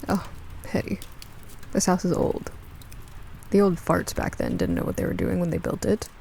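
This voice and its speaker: A woman's voice: fairly low pitch, very animated, moderate pace, gravelly, a little mellow, a little hiss or hum, full character voice. A woman voicing a folksy, wry older woman who startles easily, in a radio drama. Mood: wry, teasing.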